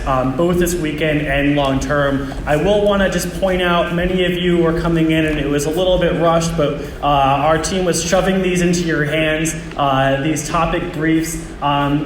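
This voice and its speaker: A man's voice: a little high, slight background noise. A man giving a casual address at an event, using many fillers. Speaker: sponsor representative. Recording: echoey hall, faint crowd.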